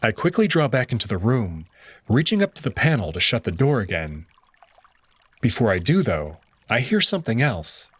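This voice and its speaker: A man narrating a visual novel in the first person, measured and tense. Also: mid-range voice, huge pitch swings, a bit bright, breathy edge, no echo.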